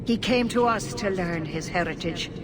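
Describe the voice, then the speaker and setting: An actress playing a dignified elven clan elder in a video game, with a measured, ceremonial delivery. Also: female voice, on the low side, lightly acted. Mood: proud. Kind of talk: video game cutscene acting. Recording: long hall reverb, noisy game capture.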